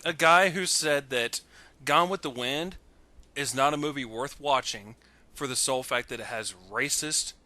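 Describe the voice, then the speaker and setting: A man's voice: medium pitch, normal speed, sharp tone. A man talking casually and with strong opinions on a headset in an online voice call. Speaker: participant in a recorded online voice chat. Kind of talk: casual chat. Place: recorded Skype call.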